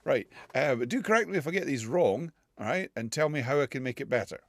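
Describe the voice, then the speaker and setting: A British man chats casually between quick accent attempts, joking at his own expense. His pitch swings up and down as he talks, and the recording is close and dry with no room sound. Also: lively intonation, no room sound. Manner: self-mocking, laughs often, switches in and out of accents. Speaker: voice-challenge vlogger.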